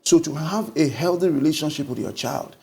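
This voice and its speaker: A middle-aged Nigerian man, a pastor talking through a book in an animated conversational way, recorded on a lapel mic in an indoor studio. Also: on the high side, brisk, creaky. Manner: animated storytelling with lively gestures. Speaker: pastor and guest.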